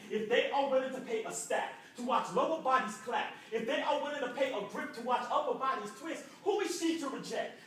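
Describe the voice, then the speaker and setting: A man's voice: very high-pitched, a bit bright. A young man from Jamaica performing rhythmic, rhyming spoken word poetry at an arts center. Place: indoor arts center hall. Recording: echoey room from far off.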